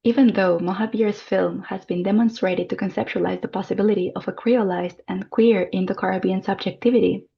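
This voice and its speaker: Woman reading out a conference paper, bit of vocal fry, muffled lo-fi sound. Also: medium pitch, fairly even intonation. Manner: formal, measured delivery. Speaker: academic presenter.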